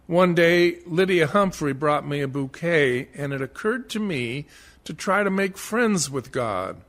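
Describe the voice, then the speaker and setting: A man playing a dead blasphemer, defiant yet humbled, in a deliberate, earnest radio-play monologue. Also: a little high, lively intonation, a bit bright. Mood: humbled, searching.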